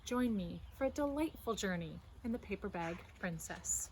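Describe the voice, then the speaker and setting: A woman reading a children's story aloud as host, in an expressive, careful storytelling manner. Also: mid-range voice, normal speed, slight background noise, rough recording. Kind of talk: animated children's read-aloud.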